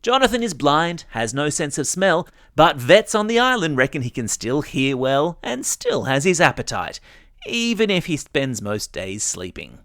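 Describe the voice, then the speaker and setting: A man's voice: on the high side, very animated, normal speed, fairly bright tone, no echo, studio quality. An Australian man hosting a news podcast for children, reading scripted stories in a chatty, playful way and speaking straight to young listeners. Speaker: podcast host.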